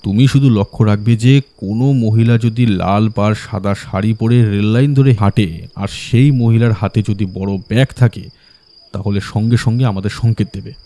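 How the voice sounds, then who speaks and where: A man's voice: fairly low pitch, steady tone, normal speed, warm tone, close to an everyday voice. A man playing a shrewd, confident detective in an audio drama, giving instructions in a commanding manner. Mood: composed commanding.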